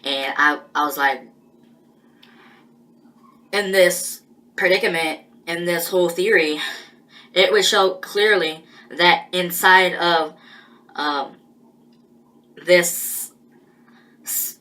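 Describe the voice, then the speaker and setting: A woman talking through a personal monologue in a halting, rambling way, with many pauses and restarts. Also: fairly low pitch, pushed and bright.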